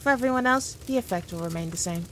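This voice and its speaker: A woman's voice: lowish voice, fast talker, fairly bright tone, slight background noise, close to an everyday voice. A woman playing a powerful demon queen in a scripted roleplay, talking down to the listener in a teasing, dominant, condescending way. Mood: coaxing. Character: haughty, playful, all-powerful female demon queen.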